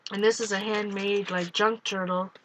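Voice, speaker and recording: A woman's voice: mid-range voice, little pitch movement, moderate pace, a little hiss or hum, poor audio. A woman with a Canadian accent tinged by Minnesota, chatting in a rambling way to viewers as she flips through her art journals from her couch. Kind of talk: casual chat while flipping through art journals. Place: home, on a couch.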